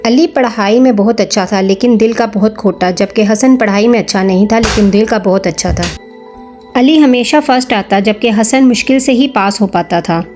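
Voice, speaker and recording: A woman's voice: mid-range voice, normal speed, darkish tone, faint music behind. A woman narrating a scripted moral story for children.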